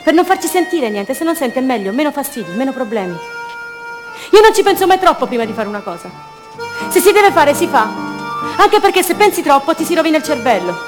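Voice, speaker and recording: High-pitched lovestruck heroine, impulsive, fragile, intense, repetitive, poetic radio drama, music underneath. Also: female voice, big pitch swings, fast talker. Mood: wistful, insistent. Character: madly in love, impulsive, fragile confined woman. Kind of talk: poetic stage monologue acted for radio theatre.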